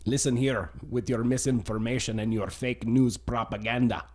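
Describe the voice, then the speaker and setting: A man's voice: medium pitch, fairly even intonation, moderate pace, close to an everyday voice. A blustering, paranoid co-host, played by a man. He speaks choppy, broken English and throws out accusations. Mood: accusing.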